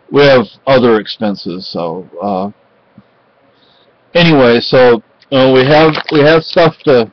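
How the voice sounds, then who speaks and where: A middle-aged man from Spain chatting casually at home in a halting, rambling way with lots of fillers, recorded close on a webcam. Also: mid-range voice, no echo. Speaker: vlogger. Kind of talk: casual home vlog chat. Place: cluttered home room with bookshelves. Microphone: webcam at close range. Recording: dull lo-fi webcam audio.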